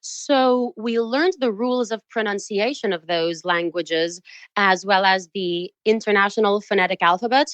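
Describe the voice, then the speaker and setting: A woman, a professional opera singer, explaining her craft in a relaxed podcast interview, precise and articulate. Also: mid-range voice, normal speed, clear, resonant tone, dry recording, poor audio. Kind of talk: casual interview talk.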